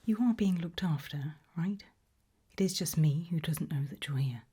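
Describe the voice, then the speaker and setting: A woman playing a friendly, forgetful research scientist in an ASMR roleplay, speaking in a hesitant, polite, breathy whisper. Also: low-pitched, normal speed. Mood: friendly, curious. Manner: hesitant, polite, soft-spoken.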